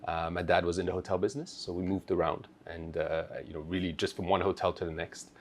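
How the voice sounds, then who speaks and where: Low, gravelly man in an interview. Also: level tone, quick pace, a little hiss or hum. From Switzerland-born, raised in Asia. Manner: hesitant, many fillers and restarts. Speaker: guest, company founder. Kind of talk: conversational interview.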